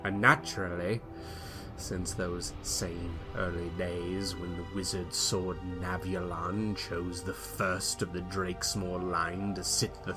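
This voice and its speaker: Slow, pompous low-voiced man. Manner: pompous aristocratic delivery. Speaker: podcast host and player. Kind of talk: dramatic in-character monologue. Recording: music bed behind.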